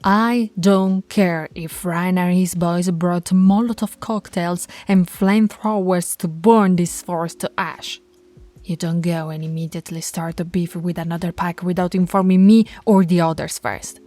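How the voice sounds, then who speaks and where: Woman as a mellow, commanding female alpha werewolf. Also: fairly low pitch, steady tone, measured pace, dry recording, soft background music, close to an everyday voice. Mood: stern, scolding. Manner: authoritative, scolding, taunting. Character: commanding female alpha werewolf, stern, teasing pack leader. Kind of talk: ASMR audio roleplay.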